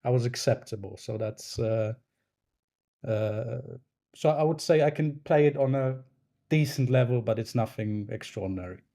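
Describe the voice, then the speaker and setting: A man's voice: mid-range voice, moderate pace, dark tone. A man in his thirties, a native Polish speaker from Poland, answering interview questions casually as a guest in a hesitant, thoughtful, self-deprecating way. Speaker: podcast guest, snooker referee.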